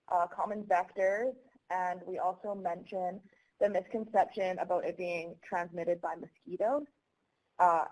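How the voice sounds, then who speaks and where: Young woman presenting, slightly low voice. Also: darkish tone, highs cut off. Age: young adult. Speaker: student presenter. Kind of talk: semi-scripted presentation on a conference call.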